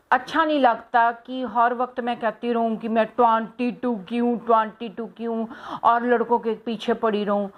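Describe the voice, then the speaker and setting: A woman's voice: a little high, highs cut off, natural voice. A woman voicing a brash, flirty middle-aged aunt for comic effect, speaking with a teasing, mock-indignant delivery.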